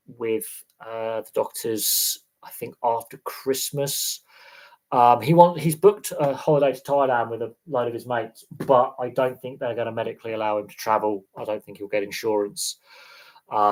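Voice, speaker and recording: A man's voice: mid-range voice, poor audio. Man in rambling livestream chat. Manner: rambling, halting, with frequent restarts and fillers. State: slightly congested with a sniffle. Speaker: sports channel host.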